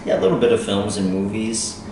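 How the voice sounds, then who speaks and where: An American man chatting casually as an interview guest, telling his story in an informal, halting way. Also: fairly low pitch, animated. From United States. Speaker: interview guest, language lecturer. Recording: roomy, distant mic, noisy background.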